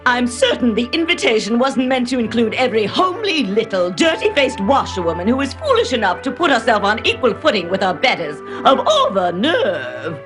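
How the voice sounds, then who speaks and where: A woman's voice: on the high side, sing-song, a little fast, hardly any pauses, music in the background. A woman playing a cruel, haughty middle-aged stepmother in a fairy-tale cartoon, scolding and imperious. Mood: scornful. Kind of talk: animated fairy-tale dub acting.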